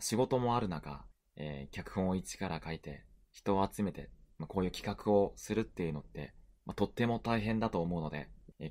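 A man speaks in a deep voice with animated intonation. He gives informal, appreciative remarks as an amateur voice actor and editor, always polite. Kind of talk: informal cast comment.

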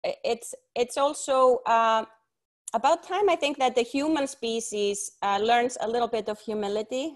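A woman's voice: fairly high pitch, brisk. A middle-aged woman from Iceland, co-hosting a video call interview, talks earnestly and passionately with many fillers and restarts. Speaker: co-host. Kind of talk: casual video call talk. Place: home study with bookshelves and windows. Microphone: wired earbuds on video call.

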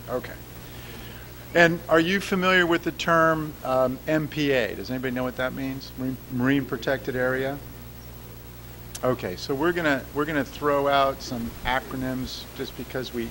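A man giving a public science lecture, laying things out clearly and asking the audience questions. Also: mid-range voice, a bit bright, slight background noise, rough recording. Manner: clear, explanatory, addresses the audience directly. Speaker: guest lecturer. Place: lecture hall.

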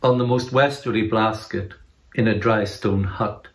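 Male reader, middle-aged, very slow solemn poetry recitation in an echoing room. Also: fairly low pitch, steady tone. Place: indoor room. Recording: roomy, echoing indoor sound.

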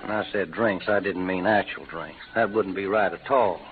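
A man's voice: sits low, normal speed, lightly acted. A man playing a laconic, polite gunman and card player with a folksy Western American drawl in an old radio western. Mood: gentle, courteous. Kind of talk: 1940s-50s radio western acting. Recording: tinny old radio recording, faint noise.

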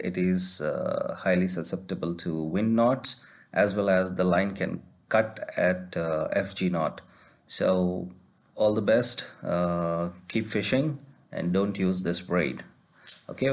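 A man hosting his fishing channel, chatting casually and giving plain recommendations with filler pauses, recorded outdoors on a beach. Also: low voice, creaky. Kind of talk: casual vlog narration.

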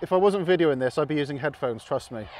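A man's voice: a little high, animated, fast. A man around his forties in casual explanatory chat to camera, as an amateur radio vlogger. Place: outdoors on an open hilltop beside a road. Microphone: camera mic at about arm's length or more. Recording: noisy outdoor recording with passing traffic.

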